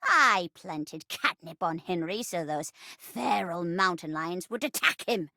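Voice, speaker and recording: A woman's voice: fairly low pitch, animated, unhurried, vocal fry, pushed and bright, no room sound, clean studio sound, full character voice. Woman as a sly drawling noir villainess, swooping. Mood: smug, defiant. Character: sly old rocking-chair femme fatale villainess, unrepentant. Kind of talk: film noir pastiche in a video game.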